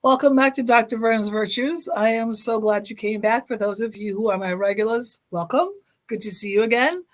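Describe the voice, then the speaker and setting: A middle-aged woman with a hoarse voice hosting her own motivational podcast, speaking encouragingly and directly to her listeners. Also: fairly high pitch, a little fast. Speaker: podcast host.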